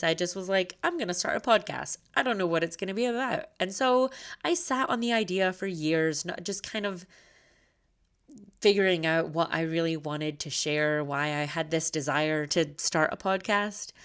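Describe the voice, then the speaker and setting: Podcast host, thirties, woman from Scotland; light Scottish-American blend, lowish voice, earnest and open. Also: moderate pace, a bit bright, smooth. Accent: slight Scottish mixed with American. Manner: earnest and candid, conversational. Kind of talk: personal podcast monologue.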